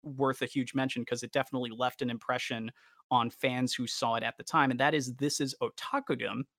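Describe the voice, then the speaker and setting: A man talks casually as a podcast co-host, with noticeable vocal fry in a mid-pitched voice. He is enthusiastic but halting as he works through his thoughts. The sound is close and dry. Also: fairly even intonation, a bit bright, no room sound. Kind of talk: casual fan podcast discussion.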